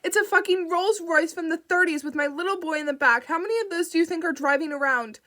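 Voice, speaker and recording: Desperate mother on the phone, a woman reading her, fairly high voice, sobbing and cursing. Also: lively intonation, moderate pace, natural voice. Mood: furious. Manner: desperate, breaking into sobs, swearing. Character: frantic young mother pleading on phone.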